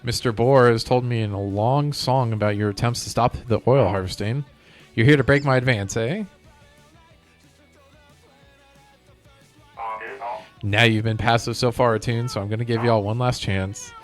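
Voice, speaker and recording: A man's voice: medium pitch, steady tone, a little fast, close to an everyday voice. A man voicing a stubborn corporate robot land developer from a video game, with a drawling, folksy, self-important manner, over music. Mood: stern, warning. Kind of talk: reading video game villain dialogue aloud.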